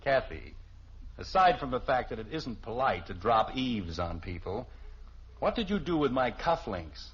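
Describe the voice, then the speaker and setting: A man's voice: mid-range voice, big pitch swings, a little slow. An American actor playing a blustering, sarcastic middle-aged suburban father in a 1950s radio sitcom. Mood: stern, suspicious. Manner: theatrical, sarcastic, fussy. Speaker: lead actor in a family sitcom. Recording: muffled, faintly hissy old radio recording.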